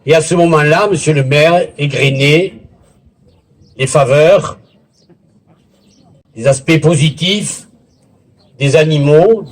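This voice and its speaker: A man giving a formal public speech as a local official, in a deliberate, theatrical oratorical manner with pauses and a husky voice. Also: a little high, poor audio. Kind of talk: formal public speech at a village festival, with literary recitation. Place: village festival in Bouisse, addressing a gathering.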